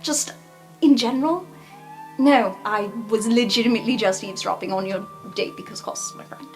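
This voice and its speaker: A woman plays her character in a tabletop roleplay with a casual, wry delivery. Her voice is on the higher side, with faint music behind it. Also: moderate pace, poor audio. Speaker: tabletop player speaking in character.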